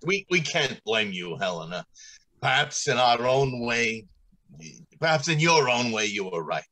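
Man acting an anguished, forceful factory director. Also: mid-range voice, big pitch swings, a little slow, exaggerated voice, poor audio. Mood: resigned. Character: anguished male factory director, grave and formal. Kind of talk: old-time radio drama acting. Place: indoors, on a video call.

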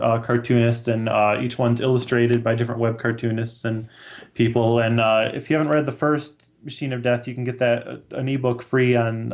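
A man in his thirties chatting casually as a guest, explaining in a halting way with many fillers. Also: mid-range voice. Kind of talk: casual book recommendation chat. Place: small room at home, on a video call.

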